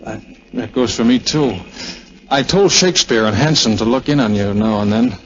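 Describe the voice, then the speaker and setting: A man's voice: mid-range voice, normal speed, lightly acted. An American man playing a tender, brave army major keeping bad news to himself, in 1940s radio drama style. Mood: tender, uneasy. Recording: muffled vintage 1940s radio recording.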